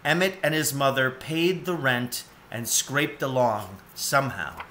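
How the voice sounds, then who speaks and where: A man's voice: medium pitch, measured pace, sharp tone, slight background noise. A man in his forties reading a children's story aloud as narrator. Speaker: storybook reader. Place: cluttered room at home, indoors.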